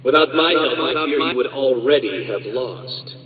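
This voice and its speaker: An actor playing an arrogant, condescending genius villain in a video game, with a pompous, sneering, wordy delivery. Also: male voice, mid-range voice, normal speed, narrow, tinny audio, noisy background, natural voice. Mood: exasperated, sneering.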